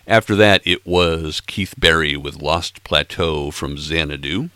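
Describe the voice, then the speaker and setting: A man in his late sixties hosting a music podcast in casual chat, a little hoarse, with playful self-mocking asides. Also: extremely low pitch, unhurried, pushed and bright, dry recording. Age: 60s. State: slightly hoarse, froggy throat. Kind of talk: casual chat between tracks.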